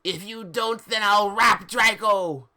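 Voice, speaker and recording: A man's voice: sits high, takes it slowly, bright, forceful tone, pitched above the performer's own voice, heavily acted. Man doing a mean, menacing wizard professor villain.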